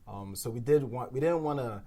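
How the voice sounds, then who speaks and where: A man's voice: mid-range voice, brisk, dark tone. A man co-creating a podcast, talking through ideas in a thoughtful, rambling way with many fillers. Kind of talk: casual round-table discussion.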